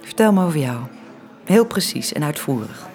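A woman's voice: sits low, very animated, normal speed, darkish tone. An actress playing an earnest, direct, sensitive young woman in intimate radio play dialogue.

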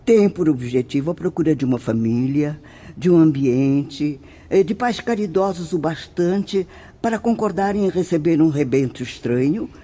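High, swooping, fatherly spirit mentor. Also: expressive, normal speed, dark tone. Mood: grave, emphatic. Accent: Brazilian. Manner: formal, emphatic, preaching. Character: solemn fatherly spirit mentor, earnest and benevolent. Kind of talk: radio drama acting, didactic.

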